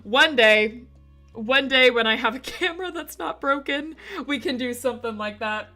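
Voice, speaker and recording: Woman, slightly hoarse, bright and forceful tone, chatty giggly streamer, faint music behind. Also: high-pitched, normal speed. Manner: chatty, giggly, talks to chat. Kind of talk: casual live-stream chat.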